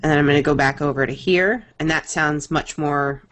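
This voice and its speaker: A woman's voice: deep voice, fairly even intonation, brisk, a bit bright. A woman co-hosting a casual podcast, chatting easily and checking her mic levels. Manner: giggly, casual. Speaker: podcast co-host.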